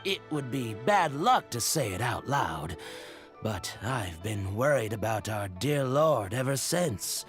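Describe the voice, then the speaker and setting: Game shopkeeper: devout and humble, slow delivery with animated melody, earnest, music behind. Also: male voice, medium pitch. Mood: worried, generous. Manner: earnest, deferential. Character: humble, devout flower merchant, earnest and polite. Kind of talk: video game voice acting.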